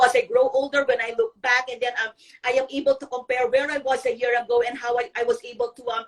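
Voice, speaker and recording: Woman from the Philippines, middle-aged, earnest, hesitant, slightly high pitch, distant call audio. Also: a bit bright. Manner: earnest, many ums and restarts. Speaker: guest blogger. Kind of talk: live video interview. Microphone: video call.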